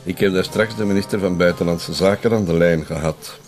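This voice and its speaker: A genial Flemish police colonel, voiced by an actor, talks confidingly in a lowish, relaxed voice. He keeps a knowing, affable tone. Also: fairly quick, soft background music, lightly acted. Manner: affable, laughing, conspiratorial. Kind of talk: radio drama acting.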